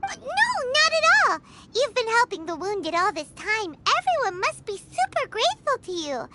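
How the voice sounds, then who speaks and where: A woman's voice: extremely high pitch, sing-song, normal speed, heavy background noise. A woman playing a tiny, childlike flying companion in a video game, perky, blunt and chatty, speaking in exclamations. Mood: eager. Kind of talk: video game character acting. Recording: loud music behind the voice.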